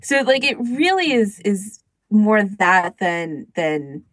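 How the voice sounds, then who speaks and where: Woman on a podcast just chatting, says like a lot, really dry recording, mid pitched voice. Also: big pitch swings, takes it slowly, no echo. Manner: frequent use of "like", quotes imagined speech. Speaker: podcast co-host. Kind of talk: casual group chat.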